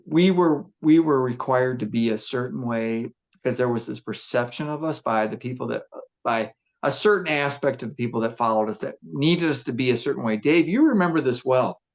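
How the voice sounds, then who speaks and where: A man with a gruff voice, a musician chatting casually on a podcast, reflective and rambling with many fillers and restarts. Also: mid-range voice, normal speed. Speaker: musician discussing his band.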